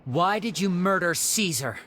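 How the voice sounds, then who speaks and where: A woman playing a shrewd young male magician in a video game, questioning in a composed, deliberate, probing way. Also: fairly low pitch, lively intonation, a bit bright, a little hiss or hum. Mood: stern, confident. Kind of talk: dramatic video game voice acting.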